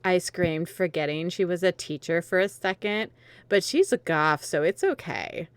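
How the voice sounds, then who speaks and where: A woman's voice: fairly low pitch, moderate pace, clean tone, no room sound. A woman narrating a dramatic reading of fan fiction, with some commentary of her own.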